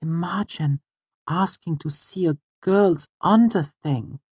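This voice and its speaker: Male reader as whimsical young girl, high soft head voice, dainty precise phrasing, playful, storybook character, dry studio sound. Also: takes it slowly, breathy edge, no echo, full character voice. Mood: mock indignant. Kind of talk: audiobook character voice.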